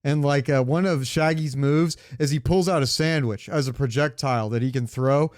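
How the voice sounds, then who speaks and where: A man with a clear, resonant mid-pitched voice talks casually as a podcast co-host. He is opinionated and informal, and the sound is dry and close. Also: normal speed, darkish tone, no room sound. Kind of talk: casual pop-culture chat.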